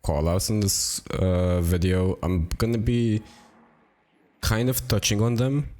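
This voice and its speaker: Deep-voiced raspy young man, tired. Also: takes it slowly. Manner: relaxed and rambling, with many fillers. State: raspy and low on energy from a cold. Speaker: gaming video creator. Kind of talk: casual tutorial narration. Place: home apartment.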